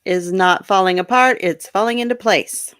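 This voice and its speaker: A woman's voice: mid-range voice, normal speed, fairly bright tone, no room sound, studio quality. A middle-aged woman who is a guest on a casual chat show, easygoing and laughing often.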